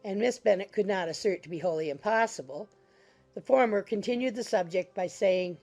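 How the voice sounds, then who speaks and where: A woman narrates a classic novel in a dark, mellow voice of middle pitch. Her delivery is scripted audiobook reading, with faint music behind it. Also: fairly quick.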